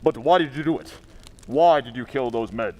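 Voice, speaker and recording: A man's voice: mid-range voice, animated, moderate pace, mellow, highs cut off, natural voice. A man playing a stern masked crime fighter in an old-time radio drama, firm and commanding. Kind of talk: 1940s radio acting.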